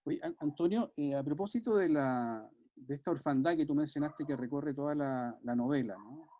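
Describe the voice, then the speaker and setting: A man's voice: a little high, normal speed, no echo. A man moderating an online book talk, asking long questions with many hesitations and tag questions. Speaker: moderator reading audience questions. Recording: dry muffled video call audio.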